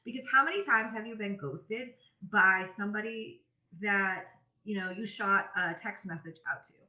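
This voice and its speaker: A woman's voice: medium pitch, moderate pace, bright, forceful tone. A woman giving a casual, chatty instructional talk as a business coach, in a conversational manner. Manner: chatty, conversational, acts out example messages. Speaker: business coach presenting a tutorial. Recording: roomy echo, slightly dull audio.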